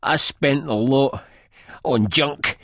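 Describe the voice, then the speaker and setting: A man playing a battered, hapless Scottish junkie in an audiobook, meek and self-loathing, groaning out halting, plaintive lines. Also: medium pitch, fairly bright tone, dry recording, studio quality, pitched above the performer's own voice. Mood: pained defiance. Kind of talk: dramatised audiobook acting.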